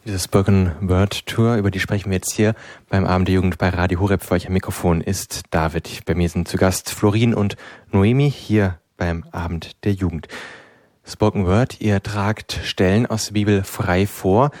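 A man hosting a youth program on a religious radio station, speaking in a clear, measured presenter manner into a studio microphone. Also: low-pitched, steady tone, a little mellow, no echo. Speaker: radio host.

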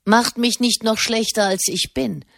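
A woman's voice: a little high, steady tone, measured pace, creaky, a bit bright, no room sound, studio quality, voice pushed up. A woman voicing a large, aging nobleman who stays cheerful and unruffled while he teases, in a storybook reading. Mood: teasing.

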